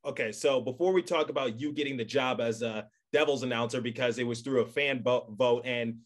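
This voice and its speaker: A man's voice: mid-range voice, a little fast. A young man hosting a casual podcast interview, chatty, with long wordy questions and asides. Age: young adult. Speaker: podcast host.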